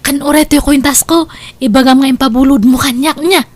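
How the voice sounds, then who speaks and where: A woman's voice: high voice, steady tone, fairly quick, lightly acted. A woman playing an evasive, free-spending wife who stalls when questioned, with an Ilocano accent, in a radio-style comedy drama. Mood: coaxing. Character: evasive married woman who spends on credit, hides her debts.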